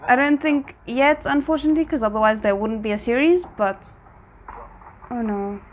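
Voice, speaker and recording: A woman with a fairly high voice reacts casually to a drama series. She thinks aloud as she goes, halting and rephrasing in an informal, conversational way. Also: slight background noise. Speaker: reaction video host.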